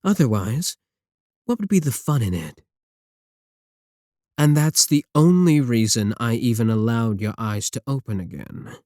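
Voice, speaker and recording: A man's voice: mid-range voice, animated, unhurried, pauses often, a little mellow, no room sound, clean studio sound, close to an everyday voice. A man playing a sneering villain scientist. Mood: mocking. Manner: taunting, sarcastic, condescending. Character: mocking male villain scientist, arrogant. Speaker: voice actor in audio roleplay. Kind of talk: ASMR roleplay audio.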